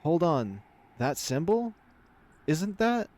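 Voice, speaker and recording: A man's voice: on the high side, very animated, slow, darkish tone, pitched above the performer's own voice. A man voicing an uneasy animal warrior in a fantasy visual novel, hesitant and full of questions.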